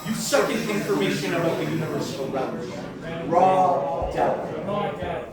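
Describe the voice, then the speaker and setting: A man's voice: sits high, a little slow. A man playing a cold, nihilistic murder engine from beyond space and time, making grandiose, ominous pronouncements in an audio drama. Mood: ominous, preaching. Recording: cavernous echo, distant, heavy crowd-like din.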